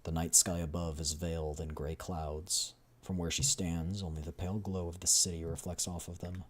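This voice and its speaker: Deep-voiced man narrating a game story. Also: little pitch movement, normal speed, slight background noise. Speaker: streamer reading a game story aloud. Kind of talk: dramatic scripted narration.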